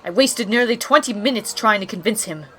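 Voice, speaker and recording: An indignant pony princess, played by a woman, talks in a medium-pitched voice. She is the blunt, scolding little sister of an audio drama, full of sarcasm and exasperated emphasis. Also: expressive, a little fast, a bit bright, some chatter behind, slight background noise, natural voice. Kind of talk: scripted fan audio drama acting.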